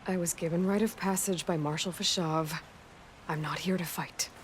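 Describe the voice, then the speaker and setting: A woman's voice: lowish voice, steady tone, moderate pace, slight background noise, close to an everyday voice. An actress playing a tough, skeptical young warrior woman in a video game, direct and guarded. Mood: guarded resolve. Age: young adult. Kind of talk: naturalistic video game cutscene acting.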